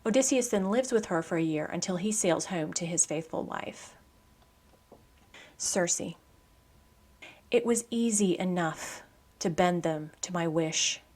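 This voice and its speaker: A woman hosting a poetry program, speaking in a measured, articulate scripted style for radio narration and poem reading. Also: lowish voice, a little mellow.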